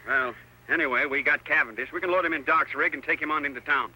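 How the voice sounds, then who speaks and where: An American actor playing a blustery, folksy Western sheriff in an old-time radio drama. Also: male voice, fairly high pitch, fast, pushed and bright, rough recording. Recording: old radio broadcast with music behind.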